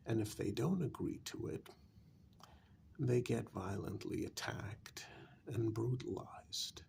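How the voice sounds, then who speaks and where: A man playing a calm, frank vampire in a soft-spoken, halting ASMR role-play, often dropping close to a whisper. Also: lowish voice, gravelly, exaggerated voice. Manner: slow, deliberate, halting with long pauses.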